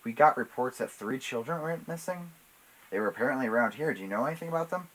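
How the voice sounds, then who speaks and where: A man's voice: medium pitch, lively intonation, quick pace, a bit bright, pitched above the performer's own voice, low-quality recording. A man playing a casual, unsuspecting police officer in a drama script, politely asking questions.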